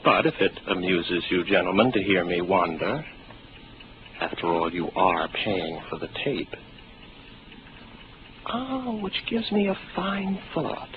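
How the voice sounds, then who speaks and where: A wry, lonely young space officer rambles sardonically into his daily log. His voice sits low and dry. It comes through a dull, hissy old 1950s radio broadcast. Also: sing-song, slow, long pauses, heavily acted. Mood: sardonic. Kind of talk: 1950s radio drama acting.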